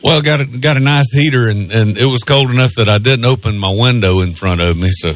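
A man's voice: mid-range voice, brisk, no echo. A man co-hosting a casual radio talk show, plainspoken and earnest, often repeating words as he starts. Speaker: radio co-host.

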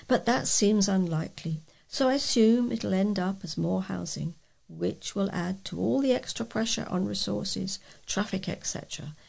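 A British woman reading readers' letters aloud as a volunteer local newsreader, with a measured delivery and the occasional stumble. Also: mid-range voice, vocal fry, a little mellow.

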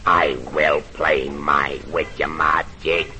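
Gremlin frog, impish trickster, croaking gravelly voice, very deep and very slow, heavy hiss. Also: male voice, flat delivery, bright, forceful tone, soft background music, heavily acted. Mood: singing, rowdy. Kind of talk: vintage children's radio comedy. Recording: noisy old broadcast recording.

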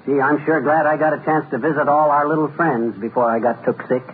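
Guy playing sickly chatty husband in old radio comedy, highish voice, hissy recording. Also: fairly even intonation, brisk, narrow, tinny audio, close to an everyday voice. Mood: drowsy, rambling. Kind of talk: 1950s radio comedy acting.

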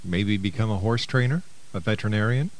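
Male radio talk host, low voice, interview and announcer duties. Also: brisk, a little hiss or hum, poor audio. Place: radio studio.

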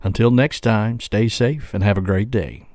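A man's voice: fairly low pitch, normal speed, a little mellow, no echo. Man reading a dry-sounding podcast outro. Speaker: podcast host.

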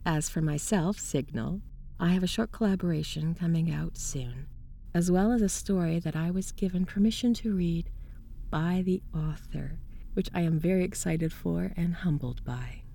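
A woman presenter reading a scripted introduction and credits in a measured, polite way. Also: fairly low pitch, vocal fry, mellow. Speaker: presenter and voice actress.

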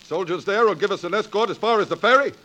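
An actor playing a gruff, commanding American lawman in a 1940s radio western, blunt and folksy. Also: sits high, fast talker, sharp tone. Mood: decisive. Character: gruff, commanding frontier lawman riding shotgun.

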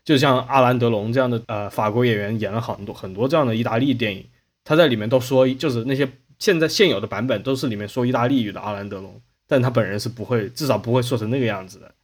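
A man speaks at a quick pace in a casual podcast discussion. His pitch sits in the middle range, and he explains things wordily, rephrasing as he goes. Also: breathy edge. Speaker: podcast host.

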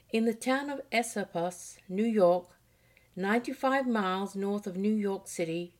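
A woman's voice: medium pitch, a little mellow. Woman reading a history lesson. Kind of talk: read-aloud history lesson.

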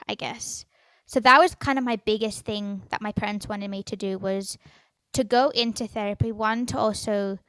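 Teen girl, solo podcast host, slightly high voice, stammering and hesitant, fading at line ends. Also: no room sound. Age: teens. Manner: halting, with stutters, fillers and restarts; soft, trails off quietly at phrase ends.

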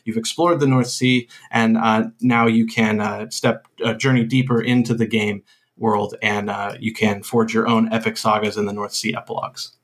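A man's voice: on the low side, flat delivery, normal speed. A man who designs games, a guest on a podcast, explaining his game in a rambling way with many fillers and restarts. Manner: rambling, full of uhs and restarts. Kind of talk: casual interview chat.

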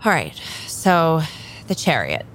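Woman playing a reluctant, lower-voiced tarot reader. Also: huge pitch swings, takes it slowly, fairly bright tone, faint music behind, full character voice, poor audio. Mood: uneasy, explaining. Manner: hesitant, sighing, muttering. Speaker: actor in improvised audio drama. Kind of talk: naturalistic improvised radio drama.

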